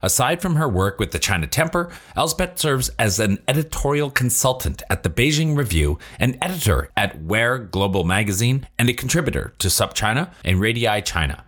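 A man hosting a business podcast, reading a measured scripted intro and then asking loose questions with fillers. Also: mid-range voice, very animated, a bit bright. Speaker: podcast host.

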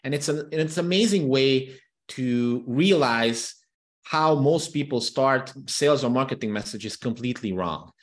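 A man from Transylvania, a native Hungarian speaker, talks as a guest in a relaxed interview. His pitch sits in a middle range, and he keeps restarting phrases and doubling words as he tells his stories. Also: moderate pace. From Transylvania, Romania. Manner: restarts and repeats words, animated storytelling. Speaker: podcast guest, copywriter. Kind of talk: casual interview talk.

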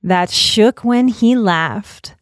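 A woman podcast host reading aloud in an expressive storytelling style. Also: medium pitch, extremely slow, no room sound, clean studio sound.

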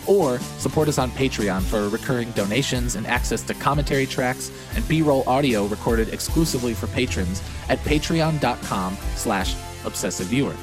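A man reading a scripted podcast outro announcement in a steady, even delivery. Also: mid-range voice. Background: guitar music bed.

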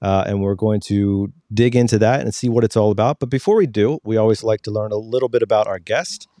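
A man's voice: lowish voice, brisk, dark tone, dry recording. A man co-hosting a podcast, reading a guest introduction and trading dry jokes. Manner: dry joking, scripted-sounding intro. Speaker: podcast co-host.

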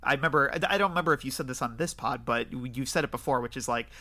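A man with a bright, forceful voice at an average pitch. He hosts a film podcast, talking casually and with enthusiasm. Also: rapid-fire. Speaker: podcast host.

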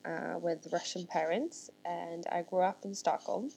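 Woman, guest founder, lowish voice, rambling casual delivery. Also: a little slow, creaky, dark tone, poor audio. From Sweden. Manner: chatty, rambling with many restarts. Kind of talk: casual interview chat.

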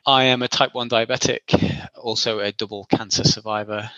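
A man in his forties from the Isle of Man speaks with a bright, forward tone. He answers in a casual, rambling and self-deprecating way. The recording is dry and a little dull. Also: fairly low pitch, fairly even intonation, moderate pace, no room sound. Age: 40s. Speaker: guest.

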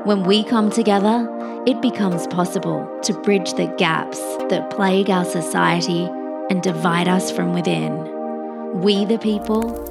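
A woman reading a scripted show statement in a measured, declarative way. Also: lowish voice, gravelly. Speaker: show announcer. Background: loud music.

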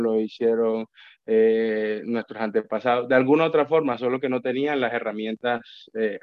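A man's voice: medium pitch, no room sound. Colombian man, dull dry sound. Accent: Colombian Spanish. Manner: reflective, many restarts and repeated words. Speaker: guest, account manager. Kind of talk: casual podcast conversation.

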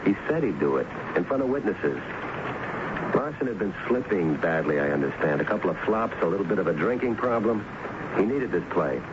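An American actor playing a dogged, wry police lieutenant in 1970s radio drama, talking in a dry, colloquial, probing way. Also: male voice, low voice, sing-song, fairly quick. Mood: dry, matter-of-fact. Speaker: radio drama actor, lead detective and narrator. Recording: muffled, noisy 1970s radio recording.

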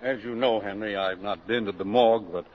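A formal health commissioner acted by a man in a fifties radio drama. He sounds doubtful, with a lowish voice, on dull old broadcast sound. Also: fairly even intonation, a little fast, natural voice. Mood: puzzled, formal. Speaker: actor. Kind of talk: 1950s American radio drama acting. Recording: dull vintage 1950s radio recording.

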